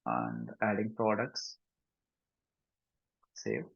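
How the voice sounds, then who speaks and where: A man with an Indian Telugu accent gives a step-by-step software tutorial as a trainer, mixing two languages and often checking that learners follow with short questions. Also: a bass voice, sing-song, extremely slow, vocal fry, slightly rough. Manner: instructional, repeatedly checking understanding with short questions. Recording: dull, roomy lo-fi screen recording.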